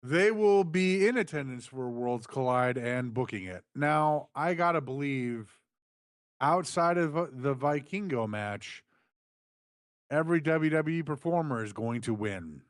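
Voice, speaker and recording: A man's voice: medium pitch, lively intonation, unhurried, no echo, studio quality. A man co-hosting a wrestling review, reading out news and backing up his co-host with short agreeable replies. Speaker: podcast co-host.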